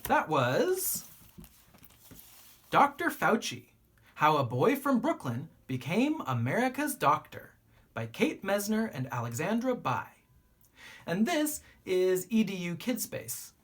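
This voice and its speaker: A woman reading a children's book aloud like a teacher, with deliberate phrasing and expressive pauses. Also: on the low side, slightly rough.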